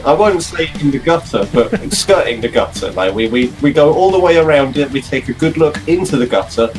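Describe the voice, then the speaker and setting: A man's voice: on the high side, lively intonation, brisk, faint music behind, low-quality recording. A British man chatting casually and effusively as a podcast co-host, in a wordy way. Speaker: podcast co-host and game master. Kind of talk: casual chat.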